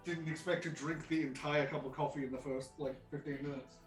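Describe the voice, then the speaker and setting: A man's voice: a little high, fairly even intonation, a little fast, slightly rough, soft background music. A man, a player, talking frankly and mildly in casual chat.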